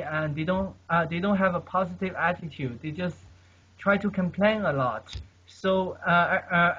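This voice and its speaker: A man's voice: high-pitched, fairly bright tone. A man, a polyglot, giving earnest advice on language learning in casual conversation, often tagging his sentences with "okay?" and many fillers. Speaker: guest polyglot. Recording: dull lo-fi recording.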